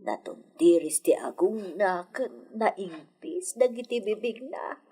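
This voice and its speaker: A woman's voice: medium pitch, lively intonation, takes it slowly, mellow, slightly rough, heavily acted. A woman with an Ilocano accent playing a sentimental, wistful older lady of a hacienda in a radio drama, with birdsong behind her. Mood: admiring.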